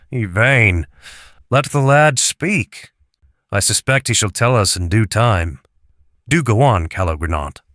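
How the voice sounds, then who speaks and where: Senior knight male actor, lowish pitch, composed, courteous and lordly, archaic audio drama dialogue, dry sound. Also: expressive, slow pace, pauses often, a bit bright, no room sound. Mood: amused, indulgent. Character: calm, courteous senior Arthurian knight and lord.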